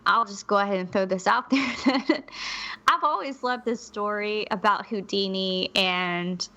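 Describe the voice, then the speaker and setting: A woman's voice: medium pitch, unhurried, sharp tone. A woman co-hosting a podcast, telling stories in a chatty, candid way with frequent asides. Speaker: podcast co-host. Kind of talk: conversational storytelling with notes.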